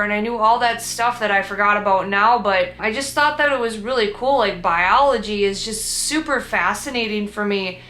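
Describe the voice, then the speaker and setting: Chatty Minnesotan woman, bright echoey room. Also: medium pitch, slight background noise. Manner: chatty, rambling, upbeat, with frequent fillers and restarts. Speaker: artist vlogger. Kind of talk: casual vlog about her painting.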